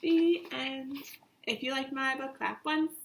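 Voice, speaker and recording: A woman reading a children's story aloud in an animated, deliberate teacher's way, addressing her young listeners directly. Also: high voice, vocal fry, heavy background noise.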